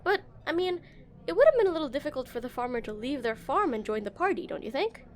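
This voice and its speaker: Woman acting as shy, high-voiced game developer. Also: sing-song, quick pace, slight background noise. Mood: gentle, curious. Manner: flustered, hesitant, stammering, giggly. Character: awkward, shy female game developer on dinner date. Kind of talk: romantic audio roleplay, conversational acting.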